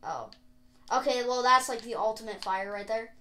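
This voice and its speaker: High-voiced woman chatting over gameplay. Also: lively intonation, normal speed, pushed and bright, echoey room. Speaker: gaming video co-host and player. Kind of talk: casual gameplay chat.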